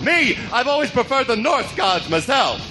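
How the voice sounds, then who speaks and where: Crazy gleeful clown villain, way high and swooping all over, comic book radio play, music under it. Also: male voice, very animated, normal speed. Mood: gleeful, taunting.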